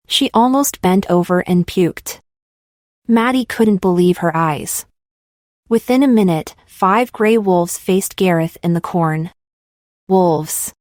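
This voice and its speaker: Low-pitched female audiobook narrator. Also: a little mellow, no room sound, clean studio sound. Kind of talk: scripted dramatic fiction narration.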